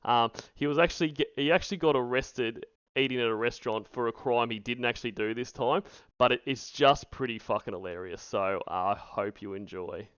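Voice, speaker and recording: A man's voice: mid-range voice, fairly quick, few pauses, fairly bright tone, muffled recording. An Australian man chatting casually and rambling as he hosts a livestream, with an amused, crude way of joking. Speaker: streamer hosting a reaction stream.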